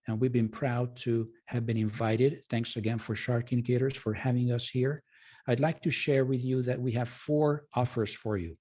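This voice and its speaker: Mellow man. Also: medium pitch, husky. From Hungary. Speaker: presenter and trading educator. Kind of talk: informal live webinar sales presentation.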